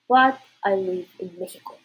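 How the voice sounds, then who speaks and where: A woman's voice: medium pitch, moderate pace, husky, narrow, tinny audio. A woman from Russia with a Russian accent, chatting informally and directly to viewers as a livestream host. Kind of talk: casual livestream chat.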